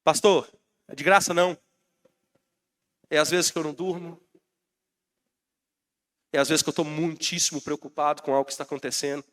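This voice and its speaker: High-pitched Brazilian pastor preaching with a cold. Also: male voice, flat delivery, moderate pace, pauses often, a bit bright. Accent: Brazilian Portuguese. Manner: rousing, calls out to the congregation. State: has a bad cold. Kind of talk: sermon.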